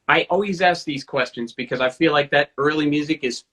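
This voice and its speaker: Male host, somewhat high voice, warm fan energy, self-deprecating wit. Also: brisk. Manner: enthusiastic, self-deprecating humor. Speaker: interview host. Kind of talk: casual interview chat. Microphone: video call.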